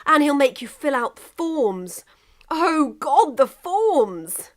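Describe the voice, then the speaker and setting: A woman's voice: high-pitched, very animated, slow, pitched above the performer's own voice, exaggerated voice. A woman voicing a frantic, exasperated drunk Englishman in a story reading, speaking emphatically. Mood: frantic despair.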